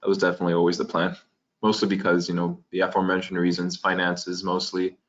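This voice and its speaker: Deep flat-voiced male panelist. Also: fast talker, dull audio. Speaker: transfer student panelist. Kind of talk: panel discussion answer.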